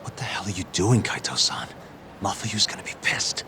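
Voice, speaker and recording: An actor playing an earnest, easily exasperated young detective in a video game, with a dry, casual delivery. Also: male voice, low-pitched, moderate pace, background noise, close to an everyday voice. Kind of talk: video game cutscene dialogue.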